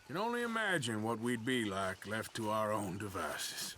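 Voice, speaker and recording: A man playing a gruff, sardonic American outlaw cowboy in a video game, drawling, dry and blunt. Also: on the low side, lively intonation, normal speed, noisy background, close to an everyday voice. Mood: dry, casual.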